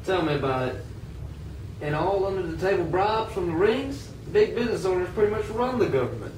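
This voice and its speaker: Man as sceptical working man, amateur puppet show, high-pitched, echoey, far from mic. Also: sing-song, brisk, a little mellow. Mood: disgruntled. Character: disgruntled 19th-century American working man, sceptical of politics. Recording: echoey room, distant mic, faint noise.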